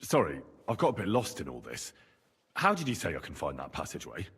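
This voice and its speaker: Husky, lively young commoner man. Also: low-pitched, a little fast, exaggerated voice. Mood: friendly inquiring. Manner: plain-spoken, earnest, friendly. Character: earnest young commoner adventurer, polite and admiring. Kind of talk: video game dialogue acting. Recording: long reverb, faint game music behind.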